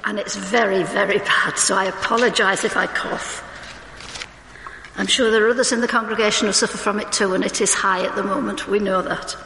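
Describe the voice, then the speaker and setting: A woman preaching a sermon in a church, conversational and reading scripture slowly, suffering from hay fever. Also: medium pitch, steady tone, sharp tone, a little hiss or hum. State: hay fever, may cough. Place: church hall. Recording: roomy church with long reverb.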